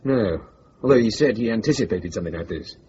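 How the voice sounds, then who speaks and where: A man's voice: lowish voice, lively intonation, fast, warm tone, lightly acted. Man acting dry secret agent, dull lo-fi recording. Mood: alert. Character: male British Cold War secret agent, dry and unflustered. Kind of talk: radio drama acting. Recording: dull lo-fi audio with faint noise.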